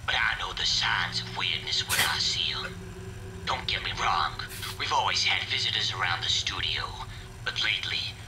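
Game audio log: low-voiced, conspiratorial studio worker, played by a man, grumbling over background noise. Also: lightly acted. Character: suspicious, grumbling male animation studio worker.

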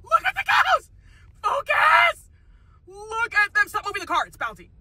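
Gushing woman with a lively voice. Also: extremely high pitch, normal speed, dry recording, shouting. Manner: gushing and excitable, breaks into shrieks. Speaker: travel vlogger. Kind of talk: casual road trip chat. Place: outdoors and in a car.

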